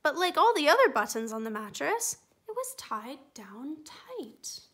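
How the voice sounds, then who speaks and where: A woman reading a children's picture book aloud, gentle and expressive. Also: high-pitched, unhurried. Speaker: storytime reader.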